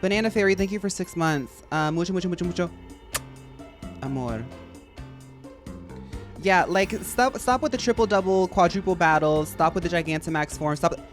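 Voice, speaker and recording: A man with a high, feminine-sounding voice, chatting casually and rambling to his live-stream audience while he plays. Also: normal speed, pauses often, soft background music. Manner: rambling, chatty, addresses chat as y'all. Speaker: game streamer.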